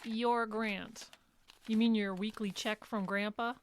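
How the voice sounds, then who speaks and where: An actress playing a weary, reluctant young care worker in an audio drama, with hesitant, understated replies. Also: female voice, medium pitch, fairly even intonation, slow, slight background noise, close to an everyday voice. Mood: skeptical. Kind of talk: live audio drama acting, naturalistic.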